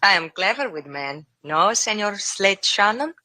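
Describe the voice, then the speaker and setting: A woman's voice: mid-range voice, animated, a little slow, pushed and bright, no echo. An actress playing a fiery, proud and dangerous cafe woman with a Spanish accent, in old radio drama style. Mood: defiant, suspicious. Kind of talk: 1950s radio drama acting. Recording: faintly noisy old radio recording.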